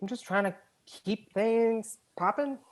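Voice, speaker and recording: A man's voice: sits high, slow. A man playing a sassy, blunt, teasing best friend in a screenplay table read. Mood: sassy, dry.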